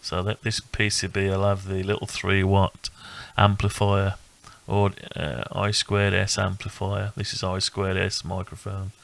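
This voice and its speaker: A man giving a casual, unscripted walkthrough of a hobby build, thinking aloud in a halting, rambling way. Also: low voice, completely flat delivery, vocal fry, fairly bright tone. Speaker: electronics hobbyist presenting own build.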